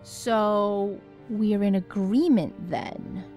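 A woman's voice: mid-range voice, very slow, a little mellow, soft background music. A woman voicing a bossy, volatile young female warrior in an anime parody dub, with a domineering, dramatic manner. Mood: tender, theatrical.

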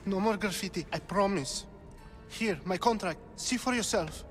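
A high-pitched young man pleads like a frightened coward as an actor voices him. He is a poor, meek artist in a video game, begging for mercy with music behind him. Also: normal speed, close to an everyday voice. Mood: frightened pleading. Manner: pleading, fearful. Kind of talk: video game cutscene acting.

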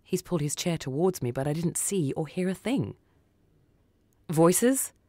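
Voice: mid-range voice, a little fast, dark tone, no room sound. A narrator reading a first-person short story dramatically for radio. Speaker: story reader.

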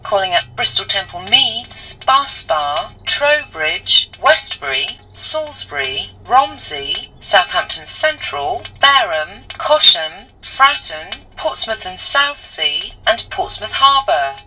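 A woman with a British accent making formal, measured station announcements, phrase by phrase. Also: medium pitch, very animated, bright, forceful tone, far from the mic, a little hiss or hum, low-quality recording. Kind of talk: recorded public announcements.

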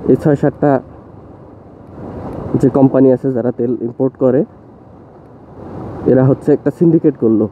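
A man's voice: medium pitch, moderate pace, pauses often. Man, young adult, casual motovlog chat, musing and opinionated, thin phone-like sound, faint road noise. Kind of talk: casual talk to camera while riding. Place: outdoors on a motorbike on rural roads. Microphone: phone mounted on motorbike.

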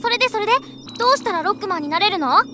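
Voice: extremely high pitch, animated, racing, bright, forceful tone, a little hiss or hum, heavily acted. An actor playing an innocent, eager little boy in a Japanese audio drama, speaking in an earnest childlike way. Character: eager, innocent little boy who admires his big brother figure. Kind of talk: Japanese anime-style audio drama acting.

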